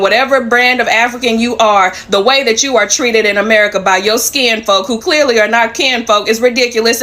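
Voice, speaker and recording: A woman's voice: a little high, pushed and bright. A woman delivering a blunt, provocative social media rant in a mocking tone.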